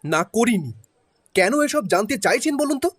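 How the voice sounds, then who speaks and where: A man's voice: sits high, very animated, normal speed, breathy edge, no echo, studio quality, full character voice. A man playing a guarded middle-aged man who tells a sad family history in a somber, reluctant way. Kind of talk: audio drama acting. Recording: faint background music.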